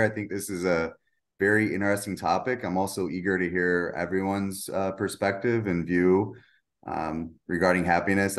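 A man introducing himself as a panelist on a video call, polite with many fillers. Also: deep voice. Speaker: panelist, shelter director. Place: home room with children nearby, video call. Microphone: webcam or computer mic on video call.

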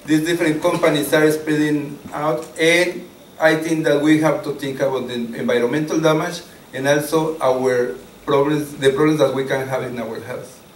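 A man in his forties with a Mexican accent and Spanish as his first language addresses a public meeting as moderator. His voice is a little high. It echoes around the hall over faint noise. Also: recorded from a distance. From Mexico City, Mexico. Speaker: event moderator, activist. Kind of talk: informal public speech, partly read introduction. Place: wood-panelled community hall, at a lectern. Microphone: mic on stand.

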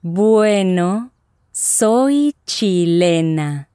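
A woman voicing Isabella, a friendly young traveler in a scripted lesson dialogue, speaking with clear careful enunciation. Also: on the low side, expressive, very slow, dark tone, no room sound, clean studio sound. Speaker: voice actor in language lesson dialogue. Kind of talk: scripted slow and normal-speed lesson dialogue.

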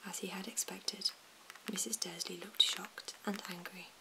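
A woman reads a storybook in a soft, slow whisper. Her delivery stays even and hushed. Noticeable hiss and small mouth clicks run through the recording. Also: lowish voice, gravelly. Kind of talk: whispered ASMR storybook reading.

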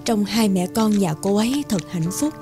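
A woman's voice: medium pitch, expressive, normal speed, mellow, faint music behind, close to an everyday voice. A woman voicing a kind, lonely older peasant mother in a cartoon fairy tale, fussing and soothing as she talks. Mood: wistful. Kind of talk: animated fairy tale voice acting.

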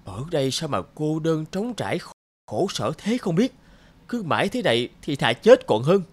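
A man's voice: a little high, very animated, normal speed. An actor playing a lazy, dissatisfied male labourer in a cartoon folk tale, exclaiming and complaining plaintively. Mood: miserable, pleading. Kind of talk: animated folk tale dubbing. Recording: loud music behind the voice.

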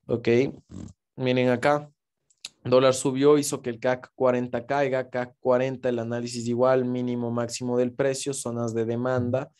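A man talks viewers through trading charts in a relaxed, explanatory lesson. His voice sits in a middle pitch with a mellow, warm tone, and he keeps checking in with his audience as he goes. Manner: casual, explanatory, frequent "¿ok?" check-ins. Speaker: forex trading instructor. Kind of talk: screen-share trading lesson.

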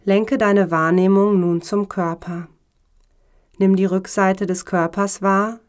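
A woman speaking as a yoga teacher, guiding a relaxation meditation in a calm, measured, instructional way. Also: mid-range voice. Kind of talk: slow guided meditation narration.

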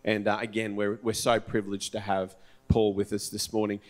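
A man's voice: lowish voice, little pitch movement, normal speed. A middle-aged man, a church pastor informally introducing a guest speaker on stage with a handheld mic. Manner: informal, hesitant with fillers and restarts. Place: large dark church stage.

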